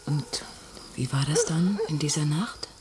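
A questioning woman with a fairly low voice. She speaks in intimate radio play dialogue. There is loud background noise. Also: a little slow. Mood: curious.

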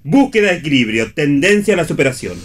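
A man's voice: fairly high pitch, sing-song, fast talker. An actor playing a wry, cocky, mocking man in radio theatre, with an Argentine accent and a clipped, dry delivery. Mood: dry, pompous.

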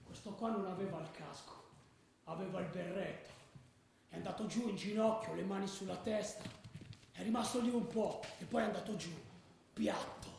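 A man's voice: high voice, normal speed, creaky, darkish tone. A male actor playing a combative football ultra, recounting fights urgently and emphatically in a radio drama. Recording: echoey, distant, noisy room.

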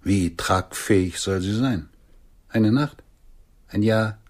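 A man's voice: on the low side, takes it slowly, a little mellow. A man acting in a radio drama as an aging, lecherous swindler, blunt, wry and world-weary. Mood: resigned. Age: older. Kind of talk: intimate radio drama dialogue.

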